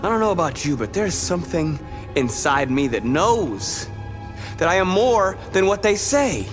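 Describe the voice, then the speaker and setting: A man's voice: sits high, big pitch swings, measured pace. A man playing a defiant android rebel leader giving an oratorical speech in a video game. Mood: rousing defiance. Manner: oratorical, building from hushed to forceful. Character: defiant male android rebel leader rallying his people. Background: dramatic music.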